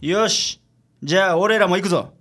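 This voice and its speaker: ラジオドラマで、関西弁のぶっきらぼうで愚痴っぽい私立探偵を演じる男性。. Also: male voice, sits high, moderate pace, very noisy, close to an everyday voice. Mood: brisk, commanding. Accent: Kansai. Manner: blunt, grumbling. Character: gruff, grumbling male private detective boss. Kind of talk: radio drama acting.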